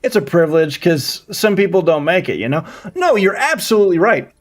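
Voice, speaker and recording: Man, thirties, high-pitched voice, casual live-stream chat, rambling, repetitive phrasing. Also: very animated, fairly quick. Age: 30s. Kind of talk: casual chat with viewers while gaming.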